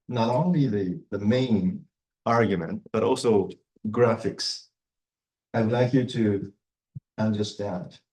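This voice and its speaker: Middle-aged male lecturer, non-native English, low mellow voice, slow and halting delivery. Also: low-quality recording. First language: not English. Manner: halting, many fillers and restarts. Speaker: university lecturer. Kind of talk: university lecture.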